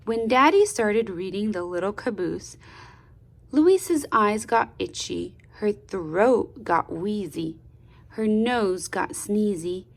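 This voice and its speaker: A woman reading a children's storybook aloud in a deliberate, expressive, teacherly way, pausing to put questions to the listener. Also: mid-range voice, vocal fry. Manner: deliberate, expressive teacher-like delivery with pauses and prompts.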